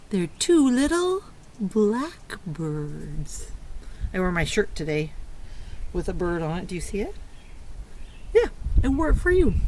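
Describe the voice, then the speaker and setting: A woman's voice: medium pitch, darkish tone, slight background noise. A woman leading a lesson for young children in a playful, sing-song preschool teacher's manner. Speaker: early learning preschool teacher. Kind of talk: children's lesson with sung fingerplay rhymes.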